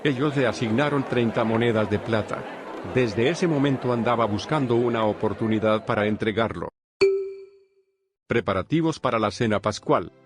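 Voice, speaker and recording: A man narrating a dramatized gospel reading at a slow, steady pace. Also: medium pitch, noisy background.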